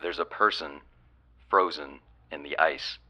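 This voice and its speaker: A man playing a gruff, wisecracking police lieutenant leading a search in an audio drama. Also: a bass voice, a little slow, pushed and bright, full character voice. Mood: stunned. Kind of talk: radio-call audio drama acting.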